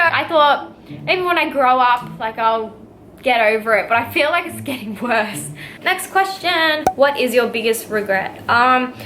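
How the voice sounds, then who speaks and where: Bubbly young Australian woman, bright voice. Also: high voice, a little hiss or hum. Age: young adult, nineteen. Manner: bubbly, giggly, lots of "like" and fillers. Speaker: vlogger. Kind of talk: casual Q&A to camera.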